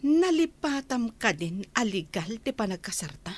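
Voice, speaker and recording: A woman's voice: a little high, big pitch swings, moderate pace. A woman playing a haughty rich madam. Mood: bitter. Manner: haughty, scornful questioning. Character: haughty rich wife, scornful of servants. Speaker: wealthy lady of the house. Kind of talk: radio drama acting.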